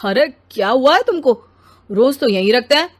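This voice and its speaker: A woman's voice: high voice, animated, moderate pace. A woman voicing a grumpy, lazy, self-important husband and father who complains and makes demands in a story. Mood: grumbling.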